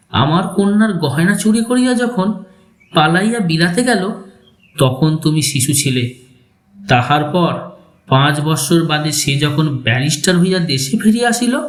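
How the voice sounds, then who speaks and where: An actor playing a bitter, vengeful older father who tells his tale with sardonic deliberation in a dramatic audio story. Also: a little high. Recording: echoey voice over background music.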